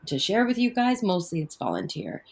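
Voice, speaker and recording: Low-voiced reflective woman guest. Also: wildly swooping pitch, moderate pace, vocal fry. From Afghanistan, raised in Canada. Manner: reflective, many fillers and restarts. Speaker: guest, activist and author. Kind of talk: conversational interview.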